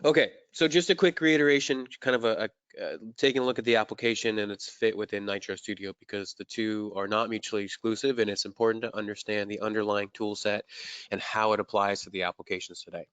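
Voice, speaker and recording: A man presenting a software demo in a casual, upbeat way, his voice slightly raspy from allergies. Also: fairly low pitch, fairly even intonation, fast talker, no echo, highs cut off.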